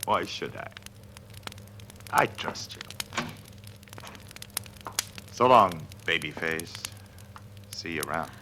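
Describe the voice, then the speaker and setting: A man's voice: deep voice, animated. An actor playing a menacing crook who taunts his victim with mocking politeness in a 1940s radio suspense drama. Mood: smug, mocking. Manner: slow, taunting, falsely polite. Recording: noisy old radio recording.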